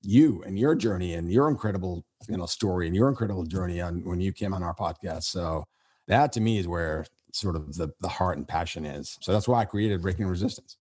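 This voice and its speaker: Deep husky man, earnest and reflective. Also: a little fast, darkish tone. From Syria. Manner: earnest, reflective, rambling with many restarts. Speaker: podcast host being interviewed. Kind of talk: conversational podcast interview.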